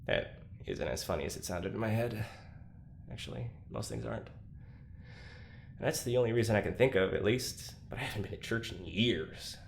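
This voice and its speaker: An actor playing a troubled, anxious young man in a confessional audio drama, speaking haltingly with self-deprecating, dry sarcasm. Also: lowish voice, expressive, creaky, a little hiss or hum. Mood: self-doubting, despondent.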